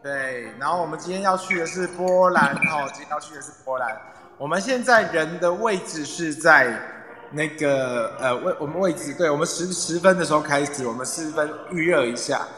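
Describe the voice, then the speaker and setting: A man's voice: fairly high pitch, normal speed, bright, forceful tone. A man with a Taiwanese Mandarin accent casually hosting a live online audio room, often repeating his phrases as he organizes things. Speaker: club president and host. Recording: echoey, long reverb.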